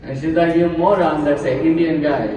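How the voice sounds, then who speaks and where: A man from New Jersey doing a stand-up set, telling deadpan stories and acting out quotes. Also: a little high, moderate pace, over a noisy crowd, very noisy. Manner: deadpan storytelling with acted quotes. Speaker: stand-up comedian. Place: event hall with a crowd. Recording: echoey hall, mic heard from far off.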